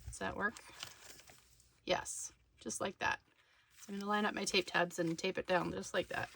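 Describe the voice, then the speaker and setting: Casual female craft tutorial host, bright mid-pitched voice, informal, thinking out loud, noticeable background noise with clicks. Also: steady tone, moderate pace, creaky. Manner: informal, thinking aloud while working.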